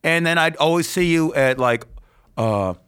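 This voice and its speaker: Bright, teasing male podcast host. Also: fairly high pitch, lively intonation, normal speed, clean tone. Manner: joking, teasing, profane. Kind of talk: casual podcast chat.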